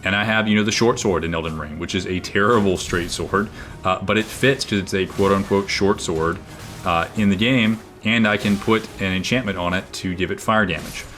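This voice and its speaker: A man with a naturally booming, projecting voice, giving casual gameplay commentary with lots of fillers and restarts. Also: steady tone, normal speed, a bit bright, faint music behind. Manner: rambling, with frequent fillers and restarts. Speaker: gaming video creator. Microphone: own microphone, level turned down.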